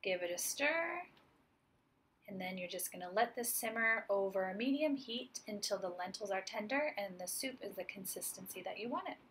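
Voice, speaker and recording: A woman's voice: medium pitch, expressive, normal speed, fairly bright tone, slight background noise, poor audio. A woman in her forties, a chef, giving a friendly, upbeat cooking demonstration and explaining each step plainly. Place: home kitchen, indoors.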